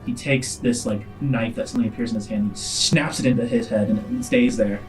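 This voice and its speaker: A man's voice: mid-range voice, fairly even intonation, fairly quick, over music. A man narrating a tabletop role-playing game as the game master.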